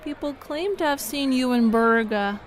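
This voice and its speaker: A woman's voice: sits high, moderate pace, a little hiss or hum, natural voice. A woman playing a persistent, pointed radio interviewer in an audio drama. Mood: skeptical, pressing.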